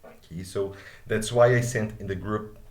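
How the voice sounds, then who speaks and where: A man's voice: fairly low pitch, steady tone, moderate pace, darkish tone, reverberant. A man with a Brazilian Portuguese accent, a native Portuguese speaker, teaches a live online English grammar class over a video call. He explains patiently and keeps checking in with "okay?".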